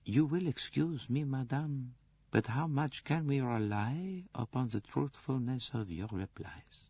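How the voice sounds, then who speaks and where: A man playing a polite, self-assured detective in an audiobook, with a soft, courteous and insinuating manner. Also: medium pitch, very animated, normal speed, husky, dry recording, lo-fi sound, voice pushed up. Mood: courteous, sceptical. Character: polite, probing male detective, quietly doubting and self-assured.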